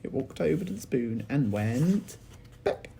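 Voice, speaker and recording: A man with an English accent reading a children's story aloud like a teacher, in animated narration. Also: medium pitch, unhurried, gravelly, slight background noise. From England.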